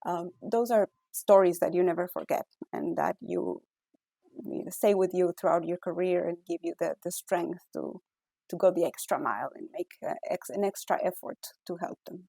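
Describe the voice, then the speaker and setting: A woman's voice: fairly low pitch, normal speed, vocal fry, dark tone, breathy edge, no echo. A middle-aged woman from Ecuador with an Ecuadorian Spanish accent, a physician scientist answering interview questions thoughtfully with many fillers and restarts.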